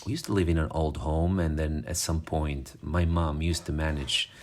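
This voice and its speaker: A man's voice: a bass voice, fairly even intonation, normal speed, a little mellow, slight background noise. A man from Morocco, talking casually and fondly as he leafs through an old magazine, rambling with fillers and restarts. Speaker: vlogger. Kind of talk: casual show-and-tell vlog.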